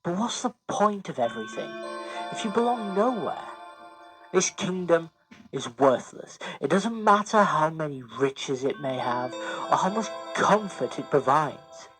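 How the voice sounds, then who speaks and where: Male narrator as young space prince, discontented, stubborn, questioning, higher-pitched, faint music behind. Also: big pitch swings, measured pace, poor audio. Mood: stubborn, bitter.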